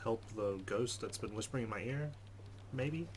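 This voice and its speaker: A man's voice: mid-range voice, normal speed. A man hosting a let's play, reading game text aloud dramatically and adding wry asides. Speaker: gaming host. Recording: faint background noise.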